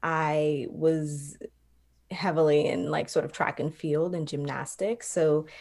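A young adult woman from Trinidad, a professional dancer, answering interview questions in a reflective way with many pauses and fillers. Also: low-pitched, level tone, vocal fry, darkish tone. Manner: reflective, many ums and you-knows.

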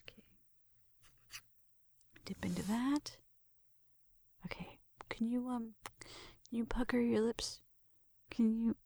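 Breathy, whispery flirty roommate played by a woman. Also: a little high, steady tone, takes it slowly, long pauses, no room sound, studio quality, exaggerated voice. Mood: gentle, focused. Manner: soft whispering mixed with murmured talk, shy and teasing. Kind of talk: ASMR roleplay, intimate one-sided conversation.

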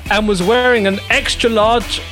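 A man's voice: sits high, steady tone, measured pace, bright, forceful tone, soft background music. A man hosting a comedy podcast, reading a silly gossip segment aloud and laughing through it. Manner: giggly, keeps laughing mid-sentence. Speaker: podcast host.

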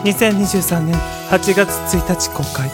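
A man's voice: sits high, steady tone, unhurried. A man playing a melodramatic trailer announcer for a romance film, in dramatic scripted narration. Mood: dramatic, grand. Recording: music behind the voice.

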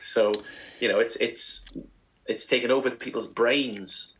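A man with a bright, forward tone co-hosts a relaxed chat show. He talks easily and agreeably, and he spins long anecdotes about things he has watched. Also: mid-range voice, measured pace, rough recording. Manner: chatty, agreeable, laughs while telling stories. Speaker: co-host. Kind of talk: casual podcast chat.

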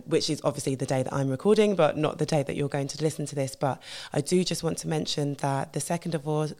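A woman with a low, husky voice hosts her podcast in a loose, chatty way. She fills pauses with "um" and stops to fix her slips as she talks through a grave subject. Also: darkish tone. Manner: chatty with fillers and self-corrections. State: husky voice from a tiring weekend. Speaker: podcast host. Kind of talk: casual educational podcast talk.

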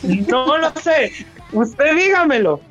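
A man's voice: extremely high pitch, sing-song, slow, fairly bright tone, dull audio, soft background music. A Mexican man hosting a joking group chat, teasing the others with mock-formal questions.

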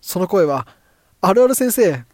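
A man's voice: high voice, sing-song, measured pace, darkish tone, no room sound, studio quality. A man playing an earnest young apprentice samurai, an eager underdog pupil.

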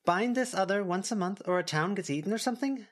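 A man's voice: high voice, expressive, quick pace, pitched above the performer's own voice. A man voicing a curious, eager teenage schoolgirl in an audiobook. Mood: probing, curious. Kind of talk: audiobook character dialogue.

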